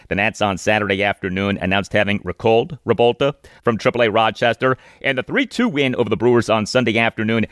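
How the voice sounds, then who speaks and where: A man hosting a sports podcast, giving detailed commentary and stats with emphatic, deliberate delivery. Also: fairly low pitch, fairly bright tone, dry recording, clean studio sound.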